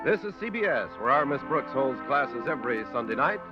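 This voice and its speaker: A male American radio announcer from the golden age of broadcasting. He reads formally in a medium-pitched voice, over prominent music on a dull old recording. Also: sing-song, fairly quick. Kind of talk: 1950s radio announcing, scripted.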